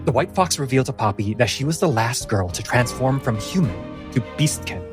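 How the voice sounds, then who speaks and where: A man's voice: medium pitch, expressive, brisk. A man narrating a tabletop fantasy game as game master, in a solemn, dramatic storyteller's style. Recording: music bed under the voice.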